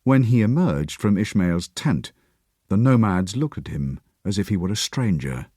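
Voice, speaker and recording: A man reading an audiobook in a measured, expressive storytelling voice. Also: fairly low pitch, slightly rough, dry recording, studio quality. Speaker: audiobook narrator. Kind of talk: scripted narration.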